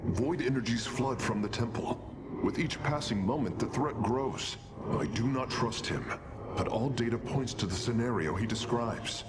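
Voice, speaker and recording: An actor playing an earnest, analytical alien adviser in a video game, reporting in formal, precise speech. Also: male voice, medium pitch, expressive, moderate pace, very noisy, close to an everyday voice. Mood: concerned. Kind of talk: video game cinematic acting.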